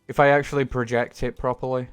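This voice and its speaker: Deep-voiced young man streaming casually. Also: flat delivery, quick pace, clear, resonant tone, soft background music. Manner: rambling, self-deprecating, casual swearing. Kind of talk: casual gaming stream chat.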